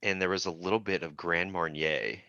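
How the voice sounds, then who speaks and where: A man's voice: deep voice, fairly even intonation, a little fast, bright, forceful tone. A man guest on a podcast, chatting casually, laughing often and joking at his own expense. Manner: laughs often, self-deprecating. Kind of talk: casual chat. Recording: dull, dry lo-fi audio.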